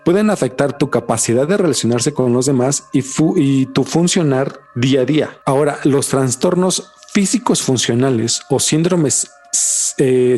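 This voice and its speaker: A man's voice: medium pitch, darkish tone. A man with a Mexican Spanish accent hosting a podcast, talking casually with a lot of slang and swearing. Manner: slangy, swears a lot, says "güey" constantly. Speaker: podcast host. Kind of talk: casual chat.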